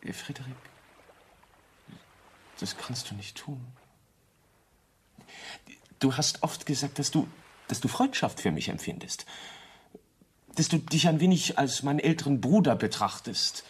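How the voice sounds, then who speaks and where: A man's voice: medium pitch, lively intonation, measured pace, pauses often, breathy edge. An actor playing an earnest, ambitious young architect in a radio drama, stammering and repeating words as he pleads. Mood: pleading, fervent. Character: earnest, ambitious young male architect, excitable and easily hurt. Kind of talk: German radio drama acting.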